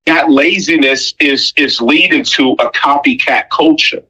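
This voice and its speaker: Raspy, bright-voiced man, preaching emphatically. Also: fairly high pitch, unhurried, lo-fi sound. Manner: emphatic, preachy, rhetorical questions and repetition; blunt, profane slang. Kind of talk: opinionated conversational monologue.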